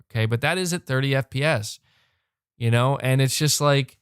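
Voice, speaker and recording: A young man hosting a live podcast, talking fast and animated, repeating words when fired up and losing his voice. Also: medium pitch, smooth, no echo, clean studio sound. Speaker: podcast host reading super chats. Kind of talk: excited live-stream chat. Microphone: close desk mic.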